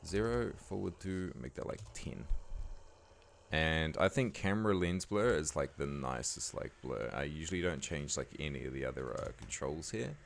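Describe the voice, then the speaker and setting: A man with a croaky voice giving a laid-back, casual editing tutorial, talking loosely with lots of fillers. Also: very deep voice, moderate pace, slight background noise. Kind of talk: casual screen-recording tutorial voice-over.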